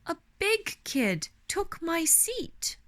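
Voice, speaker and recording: A woman's voice: very high-pitched, noisy background. A woman with a Chinese accent reads a children's picture book aloud expressively, as a parent would to a young child. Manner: slow, animated storytelling for a young child. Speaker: parent reading a bedtime story to a child.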